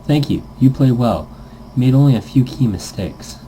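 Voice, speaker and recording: A man's voice: medium pitch, lively intonation, normal speed, dark tone, a little hiss or hum, natural voice, rough recording. A man voicing a formal, reserved male pro gamer in a visual novel, with stiff, precise and polite phrasing.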